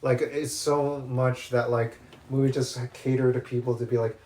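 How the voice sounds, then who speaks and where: A man in an echoey room, chatting casually about movies. He rambles, often restarts his sentences, and gets eager about what he likes. His voice sits at an ordinary middle pitch. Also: level tone, moderate pace, a little mellow, slight background noise. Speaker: podcast co-host.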